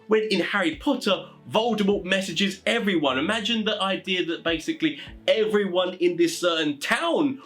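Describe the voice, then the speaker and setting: Male presenter, slightly high-pitched voice, informal explainer, conversational, rambling, repetitive phrasing. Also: a bit bright. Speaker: tabletop gaming advice presenter.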